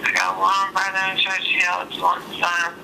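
A woman's voice: medium pitch, monotone, moderate pace, vocal fry. Woman mumbling over a noisy phone line. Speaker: prank call target. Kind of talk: casual phone conversation. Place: at home in bed, at night. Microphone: heard through a phone speaker. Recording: tinny phone line, loud noise.

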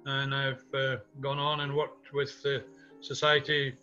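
Bright-voiced older Scottish man on a muffled call. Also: mid-range voice, completely flat delivery, measured pace. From Scotland. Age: 60s or older. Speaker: interviewee, community theatre music director. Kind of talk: reminiscing in a video interview. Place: small home room indoors. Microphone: webcam video call. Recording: muffled video call audio, music underneath.

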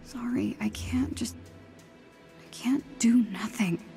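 A woman's voice: on the high side, slow, gravelly, mellow, soft background music. A woman voicing an earnest, nervous but plucky teenage girl superhero in a video game, with a casual, hesitant way of talking.

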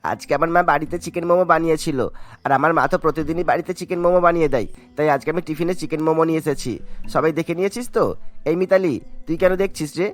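Man acting cartoon schoolboy bully, raised pitch, rapid pace, mocking, boastful. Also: faint music behind, lightly acted. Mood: boastful, taunting.

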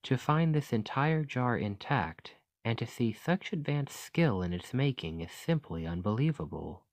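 A man playing a gentle, curious fantasy archaeologist in an ASMR roleplay, speaking in a formal, musing, articulate way. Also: medium pitch, animated, measured pace, no room sound. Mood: musing, fascinated.

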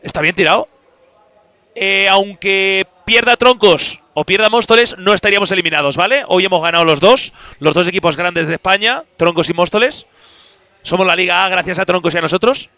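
A man's voice: high-pitched, a little fast, pushed and bright, dry recording. A young man chatting casually to his stream audience into a headset mic in a studio booth, teasing and chatty.

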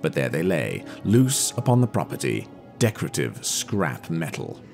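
An actor playing a brisk, earnest police detective in an audio drama, speaking formally with wordy, precise diction. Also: male voice, extremely low pitch, big pitch swings, a little mellow, faint music behind, exaggerated voice. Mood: narrating.

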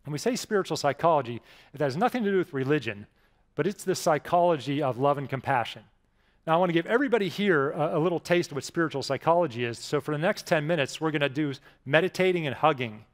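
A man's voice: on the high side, animated, fast talker. A man in his forties with an American accent, giving a conversational keynote speech with self-deprecating stories and frequent restarts, on a headset mic in front of a live audience. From Pittsburgh, USA. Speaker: keynote speaker. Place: large conference stage with audience. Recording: long hall reverb from stage.

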